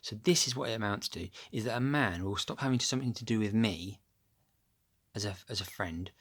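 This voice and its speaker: A man with a deep voice chatting casually to his phone camera at home, rambling and losing his thread with plenty of ums. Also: a little fast, fairly bright tone. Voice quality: low, deep voice. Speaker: vlogger. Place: at home, upstairs room. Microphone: phone camera held close.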